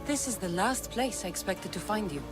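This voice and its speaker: Woman doing a tough greek merc in a game, sardonic and wry, pitch moves around a lot. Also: animated, normal speed, music in the background, lightly acted. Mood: guarded, serious. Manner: dry, sardonic. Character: wry, tough female ancient Greek mercenary. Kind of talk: video game cutscene acting.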